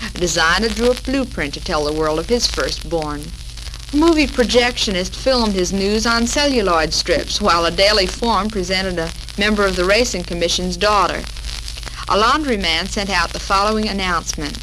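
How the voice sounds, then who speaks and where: A woman's voice: medium pitch, moderate pace. A young American woman hosting a scripted 1940s women's radio programme, chatty and witty with carefully phrased delivery. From United States. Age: young adult. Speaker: radio host. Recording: noisy vintage 1940s radio recording.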